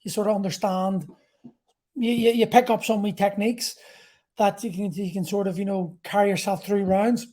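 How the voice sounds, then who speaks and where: Mellow-voiced man in his forties. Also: high voice, fairly even intonation, normal speed. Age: 40s. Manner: candid, rambling, sweary. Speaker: podcast host and martial arts coach. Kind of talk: casual solo talk about personal experience.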